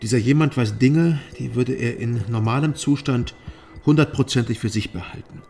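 An actor playing a troubled, guarded private detective in a German radio drama, asking questions in a hesitant, probing way. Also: male voice, mid-range voice, normal speed, vocal fry, darkish tone, soft background music, natural voice.

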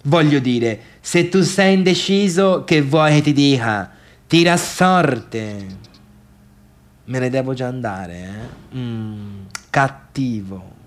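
An actor playing an agitated, mocking misfit in a colloquial, emphatic stage monologue, as if confiding in someone. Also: male voice, mid-range voice, takes it slowly, close to an everyday voice. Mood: scornful, amused. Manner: conversational, emphatic, repeats himself, chuckling asides. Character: mocking, agitated man recalling a wild party, self-deluded misfit. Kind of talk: theatrical monologue in colloquial Italian.

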